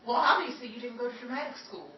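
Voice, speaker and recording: A woman's voice: a little high, lively intonation, quick pace, lightly acted. A woman playing a brash, wisecracking young aspiring actress in a slangy, theatrical 1930s Hollywood comedy. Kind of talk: 1930s screwball comedy stage acting. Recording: distant dull echoey recording, noisy audience.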